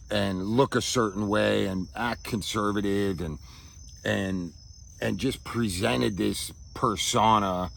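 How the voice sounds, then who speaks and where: A man giving a reflective personal monologue as a vlogger, halting as he searches for words and often checking in with a casual tag question, recorded outdoors. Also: on the low side, gravelly, a bit bright. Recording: outdoor ambience with insects chirping.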